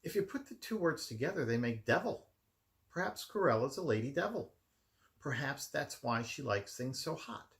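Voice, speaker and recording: A man voicing a good-humoured gentleman husband with a wry, musing manner in a storybook reading. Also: mid-range voice, animated, moderate pace, voice pushed up. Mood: joking, musing.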